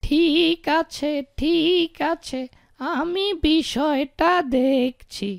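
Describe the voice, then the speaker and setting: A woman voicing a kindly but stern old male landlord in a cartoon, creaky and deliberate. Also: high-pitched, dry recording, studio quality, exaggerated voice. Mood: agreeable. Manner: slow, deliberate and doting. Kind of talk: cartoon character acting.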